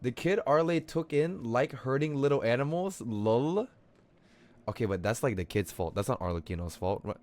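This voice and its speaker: A man's voice: mid-range voice, lively intonation, normal speed, a little mellow. A man streaming a game, commenting casually with swearing, repeated words and blurted exclamations. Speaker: game livestreamer reacting to story.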